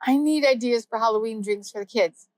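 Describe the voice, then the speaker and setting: A curious woman host asking eager questions, her voice fairly high and the sound dry and close. She keeps things light and conversational. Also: a little fast, no room sound. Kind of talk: scripted chat with an AI.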